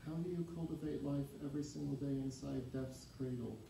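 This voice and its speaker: A young adult man reading a poem aloud in a measured, solemn recitation. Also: medium pitch, fairly even intonation, gravelly, warm tone. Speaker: poetry reader. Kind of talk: poetry recitation. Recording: very echoey, distant, faintly noisy recording.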